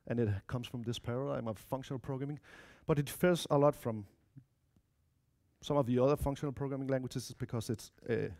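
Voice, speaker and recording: A man's voice: mid-range voice, fairly even intonation, brisk, a little mellow. A man in his thirties with a Danish accent gives an informal technical talk, speaking in a chatty way with asides to his audience. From Denmark or Spain, of mixed Spanish-Danish family. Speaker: conference speaker, software developer. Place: lecture room, indoors.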